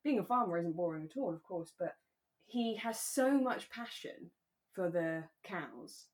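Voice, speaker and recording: A woman co-hosting a podcast in relaxed, casual conversation, thinking aloud as she talks. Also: fairly low pitch, a little slow. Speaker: podcast co-host; actor. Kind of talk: relaxed casual chat about a radio drama.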